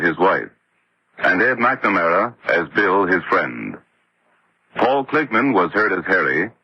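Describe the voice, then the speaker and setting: A male radio announcer reads formally in a lowish, husky voice with animated intonation. The recording is dull and lo-fi, like an old broadcast. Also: dry recording. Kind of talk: formal scripted radio credits.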